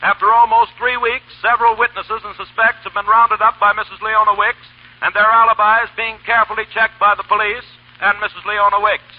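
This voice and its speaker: An actor playing an insistent, declamatory 1930s radio newscaster through a radio filter. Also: male voice, high voice, flat delivery, brisk. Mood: urgent. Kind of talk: 1930s news bulletin reading. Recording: tinny, narrow 1930s radio sound.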